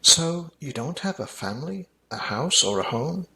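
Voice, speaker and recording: Man playing an eager, pleading kid, pitched up. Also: sing-song, normal speed, sharp tone, exaggerated voice, low-quality recording. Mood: curious, kind. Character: earnest, kind-hearted child befriending a mouse. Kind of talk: rhyming children's storybook reading.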